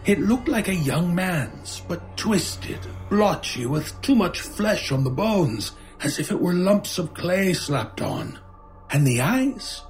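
An actor playing a frightened, haunted shopkeeper in a radio horror drama, halting and stammering in distress. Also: male voice, a little high. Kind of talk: old-time radio horror drama acting.

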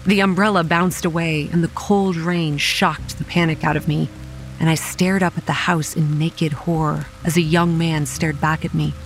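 An actress playing a young campground manager who narrates a horror audio drama in a wry, sardonic, foul-mouthed way. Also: female voice, low-pitched, steady tone, normal speed, poor audio. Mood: shaken, dread. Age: young adult. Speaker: narrator and lead character. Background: rain and music.

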